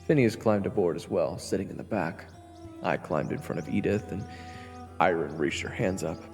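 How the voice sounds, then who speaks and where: A man's voice: deep voice, moderate pace, gravelly, darkish tone, in a large hall, soft background music. A man playing a cheeky, anxious young hero who narrates a fantasy audio drama with casual, wry asides. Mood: reflective.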